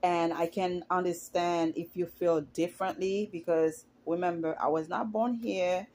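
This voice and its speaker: Chatty woman with a low voice. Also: fairly even intonation, a little slow, mellow, a little hiss or hum. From Haiti. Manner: chatty, opinionated, rises in pitch when indignant. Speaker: YouTuber commenting on reality TV. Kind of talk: casual opinion vlog.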